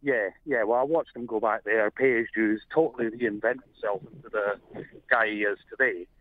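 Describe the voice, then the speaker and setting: A man's voice: lowish voice, steady tone, moderate pace. Scottish man calling in over the phone. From Scotland. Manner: friendly, hesitant, many fillers. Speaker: caller. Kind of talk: phone-in call to a talk show. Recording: tinny phone line.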